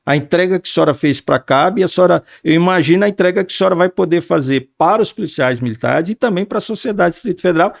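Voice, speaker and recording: Man, podcast host, earnest, fillers, gravelly, dry close sound. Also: high-pitched, quick pace, few pauses, dry recording. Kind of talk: interview podcast, formal address.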